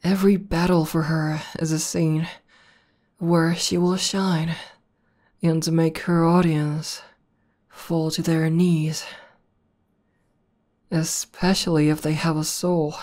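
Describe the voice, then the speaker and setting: A woman playing a proud, battle-hardened tomboy demon girlfriend in an intimate ASMR roleplay, talking conversationally with thoughtful pauses. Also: low voice, level tone, darkish tone. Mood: calm, boastful. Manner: unhurried, hesitant pauses, conversational.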